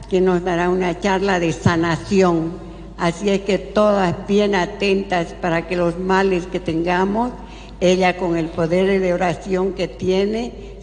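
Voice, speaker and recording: A woman's voice: lowish voice, a little mellow. Woman giving a welcome in a reverberant hall. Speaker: host introducing the speaker. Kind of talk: welcome address to an audience. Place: large indoor parish hall. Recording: reverberant hall with background noise.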